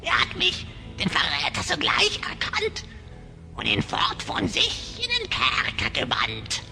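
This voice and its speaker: Creaky, cackling hyena spy. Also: male voice, sits high, huge pitch swings, measured pace, full character voice. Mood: gloating, sly. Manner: theatrical rhyming speech, breathy cackles. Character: sly cackling hyena spy, rhyming traitor. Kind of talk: German children's fantasy radio play acting. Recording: voice over music.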